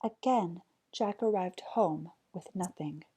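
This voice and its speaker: A woman reading a folk tale aloud as an expressive storyteller. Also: on the low side, slow pace, breathy edge.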